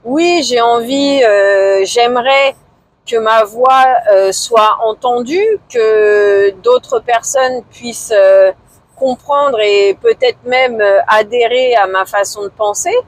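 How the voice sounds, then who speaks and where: A woman in her forties talking casually to camera in a vlog, hesitant and low-key, with many fillers and pauses. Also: animated, dry recording. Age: 40s. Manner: low-key, somewhat discouraged. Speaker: vlogger.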